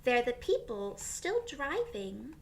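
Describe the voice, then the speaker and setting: A woman reading a picture book aloud to young children as a teacher would, expressively, with pauses and questions for her listeners. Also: a little high, very animated, vocal fry, a little mellow, a little hiss or hum.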